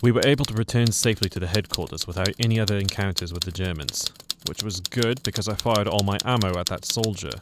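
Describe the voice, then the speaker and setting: A British war reporter, played by a male actor narrates in the first person for a radio play. The voice is low, and the track is very noisy, with typewriter keys clacking. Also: close to an everyday voice. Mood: reflective. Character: British war reporter recounting his frightening wartime experience.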